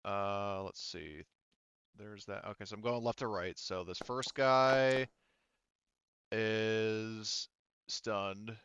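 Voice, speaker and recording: A man's voice: on the low side, steady tone, takes it slowly, a bit bright, highs cut off, a little hiss or hum. A man running a tabletop roleplaying game as game master, talking casually and thinking aloud.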